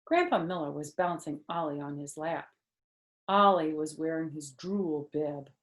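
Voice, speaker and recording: An older woman reading a children's story aloud in an expressive narrating style. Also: low-pitched, measured pace. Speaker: storytime reader, former first-grade teacher.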